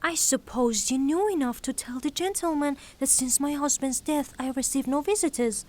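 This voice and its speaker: A woman performs a melodramatic, well-to-do young widow in a stage-style audio play. Her voice is very high, and her delivery is formal and proud, with a haughty edge. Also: normal speed, darkish tone, lightly acted. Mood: indignant, weary. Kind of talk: theatrical audio drama acting.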